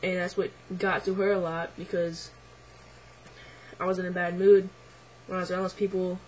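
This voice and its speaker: A man's voice: completely flat delivery, moderate pace, a little hiss or hum, poor audio. Young man with a changing voice. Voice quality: voice midway through deepening, a bit unsettled. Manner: hesitant, lots of ums and likes, quiet and reflective. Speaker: vlogger. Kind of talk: casual personal video diary.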